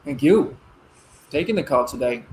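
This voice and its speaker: A man playing an earnest, gently firm grown son and expectant father in a naturalistic one-act play. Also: medium pitch, expressive, moderate pace, slight background noise, close to an everyday voice, poor audio. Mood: affectionate. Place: virtual play performed over video call.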